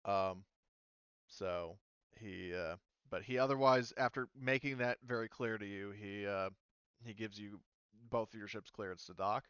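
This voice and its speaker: A man's voice: lowish voice, unhurried, a bit bright, clean tone, dry recording, lo-fi sound. A man narrating a tabletop game as game master, rambling with many fillers and restarts.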